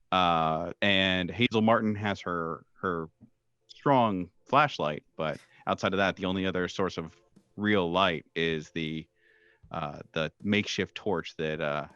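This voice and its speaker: Low-voiced man narrating, dry dull recording. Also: a bit bright, clear, resonant tone, dry recording. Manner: halting, with many pauses. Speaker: game master. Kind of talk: improvised narration of a tabletop horror game.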